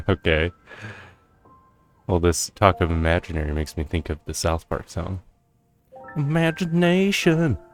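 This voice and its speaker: A man's voice: low-pitched, lively intonation, a little slow, soft background music. A man giving casual, laid-back let's-play commentary as a video game streamer.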